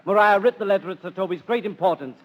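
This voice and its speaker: An actor playing a contrite household prankster, earnest and declamatory in 1930s radio Shakespeare style. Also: male voice, high voice, quick pace, close to an everyday voice. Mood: confessing. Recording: muffled 1930s radio recording.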